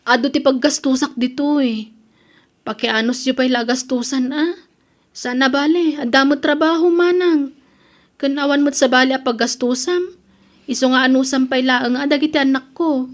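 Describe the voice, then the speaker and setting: Evasive, resentful married migrant worker, high female voice, Ilocano accent, audio drama, acted by a woman. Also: moderate pace, natural voice. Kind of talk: Ilocano radio-style family drama acting.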